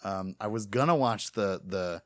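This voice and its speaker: Male podcast host, low voice, rambling opinions, casual chat, close dry sound. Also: a little slow, fairly bright tone, no echo. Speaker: podcast host leading the discussion.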